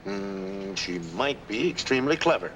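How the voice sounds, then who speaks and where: An actor playing a skeptical, probing investigator in dry, questioning 1940s film noir style. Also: male voice, fairly low pitch, lively intonation, normal speed, a bit bright, faint music behind. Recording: old film soundtrack, faint noise.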